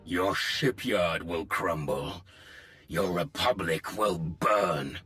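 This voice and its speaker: An actor playing a cold, vengeful Sith warlord in a video game, speaking deliberate, ominous threats. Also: male voice, low voice, sharp tone, faint music behind, heavily acted. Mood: vengeful resolve. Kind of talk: dramatic video game villain acting.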